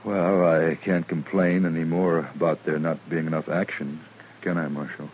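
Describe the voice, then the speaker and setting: A man's voice: extremely low pitch, level tone, normal speed, a little mellow, rough recording. An American actor playing an eager, restless man after action in a 1950s radio western. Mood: wry. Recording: hissy old radio recording.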